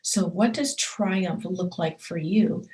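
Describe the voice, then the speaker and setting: A woman's voice: lowish voice, takes it slowly, darkish tone, low-quality recording. A woman hosting her own show and giving a motivational talk. She speaks earnestly and emphatically, stacking short repeated affirmations and addressing the listener directly.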